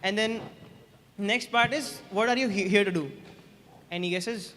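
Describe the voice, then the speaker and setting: A man's voice: sits high, sharp tone, long reverb tail, soft background music. A young man, a senior student, joking with freshers on stage in casual banter with many restarts. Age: young adult. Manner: joking, teasing, quick repeated restarts. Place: large hall on stage.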